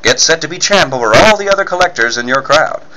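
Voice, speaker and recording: A man's voice: a little high, expressive, quick pace, sharp tone, poor audio. A man reading scripted narration and ad copy as an emphatic, punchy 1940s-style radio announcer. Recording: faint background noise.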